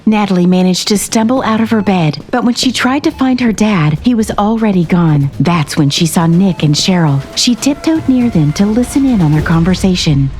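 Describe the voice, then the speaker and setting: A woman reads scripted dramatic narration in a medium-pitched voice. Faint music plays underneath as she tells the story. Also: lively intonation, normal speed, few pauses, darkish tone.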